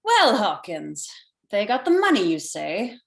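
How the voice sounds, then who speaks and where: Radio drama sergeant: actress as flustered officer, swooping highish voice, roomy echo. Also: female voice, wildly swooping pitch, gravelly, full character voice. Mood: officious, flustered. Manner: halting, repetitive, officious. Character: fussy, flustered male police sergeant. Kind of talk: radio play reading. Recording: echoey room on video call.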